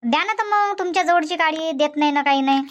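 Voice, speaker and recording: A high, bright-voiced village housewife, voiced by a woman in a cartoon comedy. She scolds and grumbles in fast village banter. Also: dull audio. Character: nagging village housewife Shanta, chatty and sharp-tongued.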